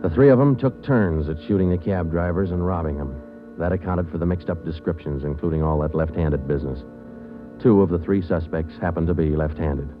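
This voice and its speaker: A man's voice: low voice, close to an everyday voice. An actor playing a clipped, matter-of-fact police sergeant who narrates his case in a 1940s radio drama. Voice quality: terse, matter-of-fact delivery. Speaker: detective narrator. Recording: tinny old radio broadcast with music.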